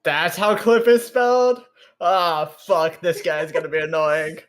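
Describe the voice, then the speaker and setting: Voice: medium pitch, fairly even intonation, measured pace. A tabletop roleplay player making casual, slangy asides and reactions. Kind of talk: casual chat, joking asides.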